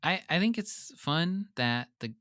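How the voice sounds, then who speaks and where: A man's voice: on the high side, expressive, measured pace, fairly bright tone, no echo. Man chatting casually, dry close sound. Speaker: podcast co-host. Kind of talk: casual chat, reacting and musing.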